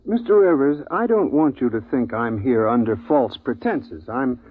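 Timid earnest everyman, husky mid-pitched voice, hesitant, apologetic, vintage radio drama, narrow tinny sound. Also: expressive, moderate pace. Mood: nervous, earnest. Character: meek, earnest, bookish man craving one big adventure. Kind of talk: 1950s radio drama acting. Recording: thin, phone-like 1950s radio recording.

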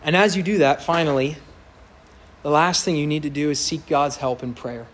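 A man preaching to his congregation in a church, his voice loud and somewhat high for a man. He speaks earnestly and with emphasis, building to impassioned peaks. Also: fairly even intonation, normal speed. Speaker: pastor. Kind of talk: sermon.

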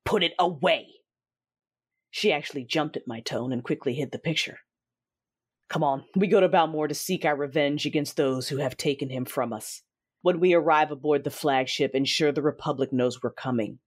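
An actor playing a cruel, vengeful Sith lord, narrating an audio drama in a deliberate, commanding, menacing way with a husky voice. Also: medium pitch, natural voice. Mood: grieving rage. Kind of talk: first-person audio drama narration with dialogue. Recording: dramatic music behind the voice. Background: music.

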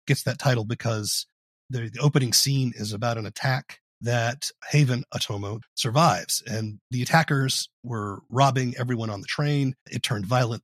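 A man interviewing on a podcast, explaining and commenting in a conversational, measured way. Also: medium pitch, breathy edge, no room sound.

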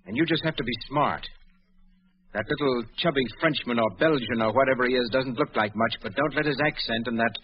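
An actor playing a bossy, scheming, hard-edged crook with curt, commanding speech in a 1940s radio drama. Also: male voice, medium pitch, fairly quick, natural voice. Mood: hard, irritated. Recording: muffled 1940s radio recording.

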